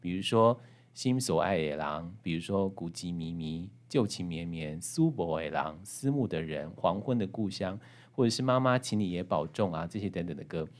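Male podcast host, deep voice, Taiwanese Mandarin accent, chatty and engaged, addresses listeners directly. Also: expressive, normal speed. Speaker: radio and podcast host, interviewer. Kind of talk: conversational book-show hosting.

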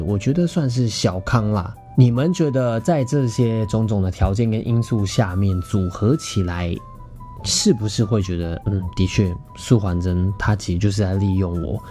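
A man's voice: lowish voice, normal speed, warm tone. A young man with a Taiwanese Mandarin accent, hosting a podcast and telling a personal story expressively and conversationally, often turning to address his listeners. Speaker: podcast host. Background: background music, guitar.

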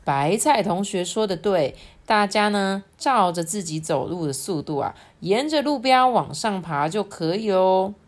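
Mom playing a calm veggie teacher from a storybook, measured and encouraging. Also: female voice, mid-range voice, close to an everyday voice. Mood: reassuring. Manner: measured, gently instructing. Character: calm, encouraging male radish teacher leading young pupils.

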